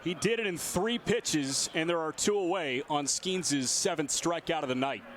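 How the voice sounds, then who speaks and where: A man's voice: a little high, moderate pace, fairly bright tone, slight background noise. A man doing live radio play-by-play commentary of a baseball game. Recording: outdoor stadium ambience behind voice. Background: ballpark ambience.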